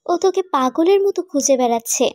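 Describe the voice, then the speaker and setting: A woman acts a loyal, inquisitive young female friend in a cartoon love story. The voice is high and close, very dry. The delivery is questioning and gently reproachful. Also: expressive, fast, no echo. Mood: earnest, reproachful. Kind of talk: animated romantic drama dialogue.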